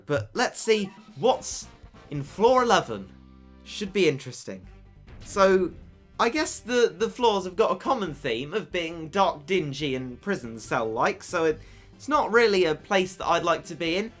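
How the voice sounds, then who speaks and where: A young man commentating a mobile game, excitable and cheeky, stammering on his repeats, his voice getting worn from shouting. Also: high voice. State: losing his voice. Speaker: gaming YouTuber.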